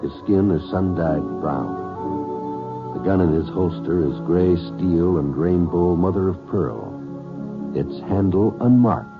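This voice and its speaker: A man's voice: deep voice, lively intonation, slow. A man announcing in dramatic 1950s network radio style. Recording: muffled old radio broadcast over music.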